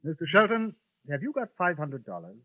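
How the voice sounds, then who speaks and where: Calm and courteous, this gentleman gunman speaks with dry irony, his voice sitting a little high and swinging widely in pitch. The old radio recording is tinny and narrow, like a phone line. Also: a little fast. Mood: coolly ironic. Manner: dry, courteous, coolly ironic. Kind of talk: 1950s radio drama acting.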